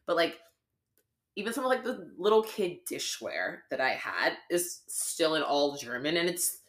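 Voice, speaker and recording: A woman's voice: low voice, big pitch swings, a little slow, fairly bright tone. A young adult woman co-hosting a podcast, chatting casually and rambling with lots of "like" fillers. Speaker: podcast co-host.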